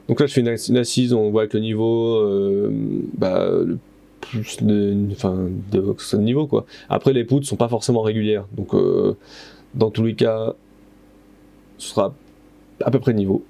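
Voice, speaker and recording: Gravelly low-voiced man, hesitant DIY talk. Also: warm tone. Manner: hesitant, many fillers, self-correcting. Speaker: plasterer renovating his house. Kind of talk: casual DIY narration. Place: stone-walled room under renovation. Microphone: head-mounted action camera.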